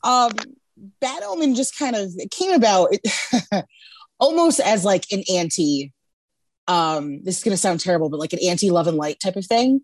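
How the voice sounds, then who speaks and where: A woman guest who reads tarot, talking frankly over a video call, laughing often and filling pauses with "um" and "like". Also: medium pitch, animated, no room sound. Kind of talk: casual chat.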